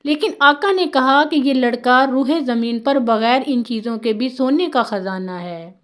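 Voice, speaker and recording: A woman voicing a shrewd, patient male master of a household in a told story, measured and persuasive. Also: a little high, fairly bright tone, lightly acted. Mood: admiring.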